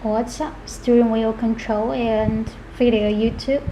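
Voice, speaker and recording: A woman giving an unscripted product demonstration, talking in a halting way and going through items one by one. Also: fairly high pitch, fairly even intonation, dark tone, a little hiss or hum, low-quality recording. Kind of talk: unscripted product demo walkthrough.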